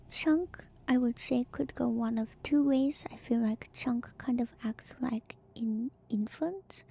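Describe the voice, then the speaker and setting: Woman, soft and whispery, fairly high pitch, creaky edges, hesitant musing, ASMR game commentary, music behind. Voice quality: soft, breathy, often whispered. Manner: hesitant, musing, pausing often to think. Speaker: streamer, virtual YouTuber host. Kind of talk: ASMR lore commentary on a horror video game.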